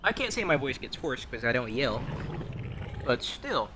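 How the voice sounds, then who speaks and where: A man's voice: medium pitch, big pitch swings, moderate pace, music in the background, low-quality recording. A young man chatting casually over his own gameplay, rambling and wry, poking fun at himself and at other players. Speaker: game streamer.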